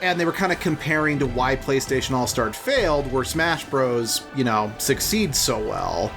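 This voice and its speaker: A man's voice: mid-range voice, expressive, moderate pace, creaky, music in the background. A man giving casual let's play commentary, explaining trivia and opinions at length. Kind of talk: casual gaming commentary chat.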